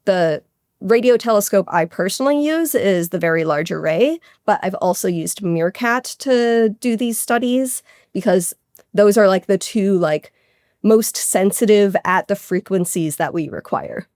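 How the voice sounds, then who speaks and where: A woman's voice: medium pitch, expressive, measured pace, darkish tone, no room sound. Woman explaining science, dry close sound. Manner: casual technical explaining, peppered with "like". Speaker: guest astronomer being interviewed.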